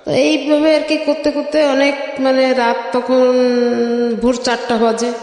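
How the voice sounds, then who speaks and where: A woman's voice: high-pitched, level tone, measured pace, darkish tone. Echo-drenched Bangladeshi woman telling her story. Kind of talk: personal storytelling in an interview. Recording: very echoey, long reverb.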